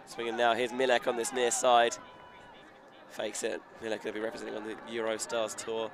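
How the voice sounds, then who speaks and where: A man's voice: mid-range voice, completely flat delivery, brisk. A man giving live play-by-play commentary on a frisbee match, following the action in flowing present-tense calls that rise with the play. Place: outdoors beside a sports field. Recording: outdoor crowd and traffic noise. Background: crowd and outdoor ambience.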